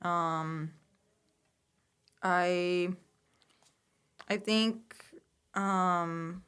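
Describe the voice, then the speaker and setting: Woman guest, Hungarian native, low smooth voice, hesitant with lots of ums. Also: fairly even intonation, extremely slow, a bit bright. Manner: hesitant, many ums, short answers. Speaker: podcast guest. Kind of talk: casual interview.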